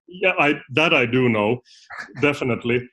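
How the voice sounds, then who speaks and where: A young man from Finland, a consultant who talks as a podcast guest in a halting, rambling way with many restarts. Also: medium pitch, expressive, fairly bright tone. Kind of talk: casual interview talk.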